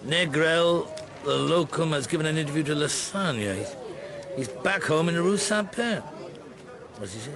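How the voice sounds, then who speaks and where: A man's voice: on the high side, lively intonation, moderate pace, a crowd in the background. A man acting in a radio play as a middle-aged, curious police inspector on holiday, with a hesitant, musing manner. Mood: absorbed, curious. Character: retired-seeming police inspector on holiday, mulling, curious, husband.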